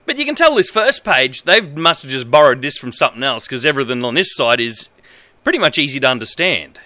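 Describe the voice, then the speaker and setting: Animated, bright-voiced Australian man streaming. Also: mid-range voice, no echo. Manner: halting, exaggerated read-aloud delivery with incredulous asides. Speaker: livestreamer. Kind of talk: reading aloud with amused livestream commentary. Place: indoor home streaming setup.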